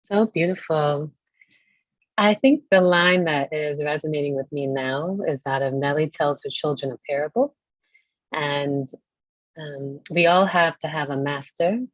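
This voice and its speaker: Deep-voiced woman, reflective panel talk. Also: steady tone, unhurried, dry recording. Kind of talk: reflective literary panel discussion. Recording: dull lo-fi audio.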